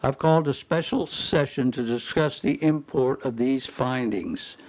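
An actor playing a smug, scheming governor in a radio play, pointed and oratorical, heard through a radio filter. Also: male voice, mid-range voice, a little slow, darkish tone. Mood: condescending. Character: smug, scheming territorial governor, smooth politician. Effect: radio transmission filter. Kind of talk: radio drama acting. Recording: dull, band-limited radio voice.

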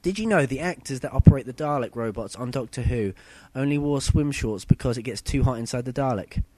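A young man hosting a casual podcast, a bit husky from a sore throat, chatty and rambling. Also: medium pitch, expressive, fairly quick, a little mellow, a little hiss or hum. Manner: chatty, loose and rambling, with fillers and self-corrections. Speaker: podcast host. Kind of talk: casual solo podcast chat.

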